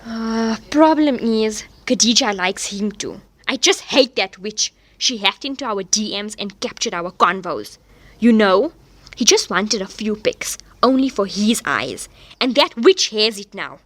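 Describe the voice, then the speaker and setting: A woman's voice: medium pitch, animated, a little slow, a bit bright, no echo. A woman playing a distressed, dramatic teenage girl in an audio drama. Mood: anxious confiding. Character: distressed teenage girl being blackmailed over private photos.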